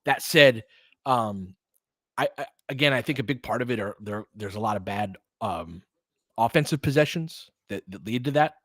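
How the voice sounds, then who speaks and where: A man's voice: fairly low pitch, expressive, normal speed, a bit bright, no echo, clean studio sound. A man hosting casual live basketball commentary and analysis, thinking aloud in a conversational way. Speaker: host, fan play-by-play commentator.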